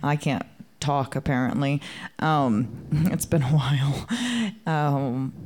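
A woman co-hosting a podcast in casual chat, monotone and a bit tipsy, frank and giggly, sometimes losing her words. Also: very deep voice, slow pace. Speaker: podcast co-host.

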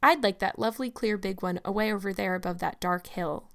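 A woman's voice: medium pitch, fairly quick, close to an everyday voice. A woman voicing an imaginative, talkative young orphan girl in a storybook reading, effusive and earnest. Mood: dreamy.

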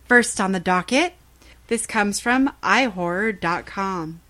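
A woman's voice: mid-range voice, slow, sharp tone. A woman hosting a podcast segment, reading a scripted news report.

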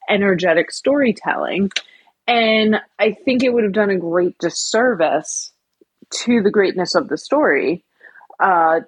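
A woman's voice: fairly low pitch, husky, no echo, poor audio. A woman co-hosting a podcast, weighing her words thoughtfully as she chats about a story. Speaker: podcast co-host. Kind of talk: casual discussion of a book.

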